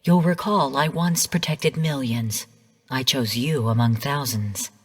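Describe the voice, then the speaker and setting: An actress playing a female artificial intelligence in a video game, speaking in a measured, formal, clinical manner. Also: extremely low pitch, slightly rough, exaggerated voice. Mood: commanding, encouraging. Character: calm female rogue artificial intelligence mentor turned genocidal overseer. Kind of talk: scripted video game voice lines. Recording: long hall-like reverb.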